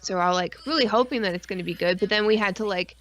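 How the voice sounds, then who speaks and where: A woman co-hosting a stream in casual, rambling fan chat, excitable and full of slang and swearing. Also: mid-range voice, fast. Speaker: podcast and stream co-host.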